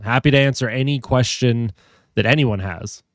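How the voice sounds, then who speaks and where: A man's voice: medium pitch, moderate pace, dry recording, clean studio sound. Stuffy-nosed young man chatting with stream viewers. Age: young adult. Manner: chatty, reads and greets viewer comments. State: stuffed up from a head cold; a little tired. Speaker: hockey streamer. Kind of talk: casual live stream chat.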